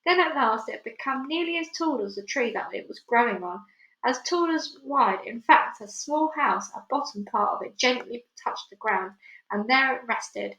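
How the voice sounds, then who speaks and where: Bright-voiced woman reading a children's story. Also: fairly high pitch, expressive, moderate pace. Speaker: storybook reader. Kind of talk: expressive read-aloud narration of a children's novel. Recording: echoey room.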